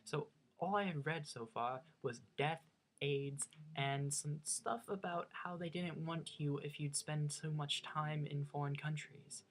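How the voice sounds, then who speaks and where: A man's voice: a little high, measured pace, a bit bright, slight background noise. A young man from the United States with a slight Norwegian accent, telling a funny personal story in a chatty, self-mocking way with hesitant restarts. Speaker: vlogger. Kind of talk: casual storytelling.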